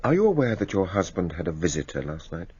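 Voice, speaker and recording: An actor playing a formal magistrate who questions a witness in measured tones. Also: male voice, low voice, big pitch swings, a little mellow, slightly rough, lo-fi sound, full character voice. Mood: probing. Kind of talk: radio drama acting.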